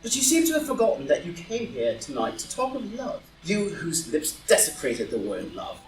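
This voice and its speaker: A woman playing a witty, dandyish gentleman, flippant yet loyal, with dry, declamatory repartee in an audio drama reading of a play. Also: lowish voice, huge pitch swings, moderate pace. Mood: indignant. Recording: roomy, distant live reading with music.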